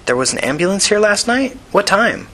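A man's voice: a little high, lively intonation, fast talker, bright, forceful tone, slight background noise, pitched above the performer's own voice. A man voicing a scrappy young street woman who talks in a direct, casual, questioning way. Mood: eager, probing.

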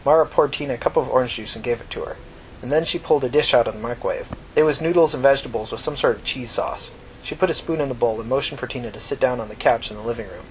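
A man's voice: mid-range voice, quick pace, slight background noise, poor audio. A man narrating scripted fiction as the reader of a story.

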